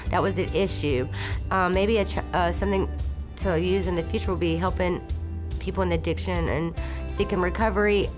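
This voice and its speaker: A woman's voice: fairly low pitch, steady tone, brisk, music in the background. A woman speaking earnestly about her recovery as a drug court participant, with an occasional hesitant um. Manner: earnest, a few hesitant ums. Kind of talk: testimonial statement.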